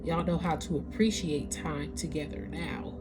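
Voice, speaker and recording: A woman's voice: low-pitched, steady tone, normal speed, heavy background noise. A woman with a stuffy, congested nose, giving a casual spiritual reading to her audience and now and then searching for the right word. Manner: casual, conversational, searching for words. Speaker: tarot reader.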